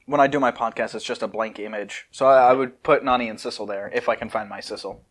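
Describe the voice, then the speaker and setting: A man's voice: mid-range voice, fairly even intonation, fast. A man reading a fan fiction outline aloud as a podcast host, breaking off often to comment and joke.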